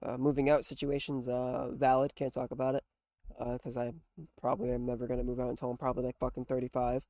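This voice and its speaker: A man's voice: medium pitch, completely flat delivery, fast, warm tone, no room sound. A young man in his twenties talks loosely on a podcast with friends and swears constantly. He has an everyday mid-range voice. The recording is dry and close. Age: 20s. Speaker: podcast co-host. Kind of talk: casual chat.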